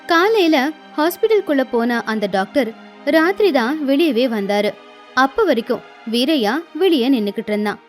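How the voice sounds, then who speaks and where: Woman storyteller, high voice, scripted narration, soft background music. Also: animated, normal speed.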